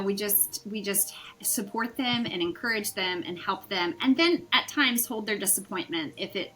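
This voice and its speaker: Bright-voiced encouraging middle-aged woman. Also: mid-range voice, poor audio. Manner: warm, encouraging, reassuring. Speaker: guest, pilot's mother. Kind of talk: casual interview chat.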